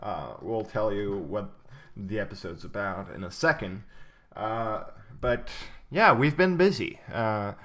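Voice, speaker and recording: A man's voice: lowish voice, animated, unhurried, fairly bright tone. A young man co-hosting a podcast in casual chat, rambling with lots of ums and uhs and frequent laughter. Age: young adult. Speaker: podcast co-host.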